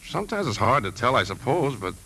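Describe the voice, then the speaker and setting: A man's voice: medium pitch, very animated, fast, pushed and bright, breathy edge, full character voice. A man playing a kindly, concerned, slightly hesitant uncle in a 1950s radio drama. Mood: troubled. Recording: noisy 1950s radio broadcast recording.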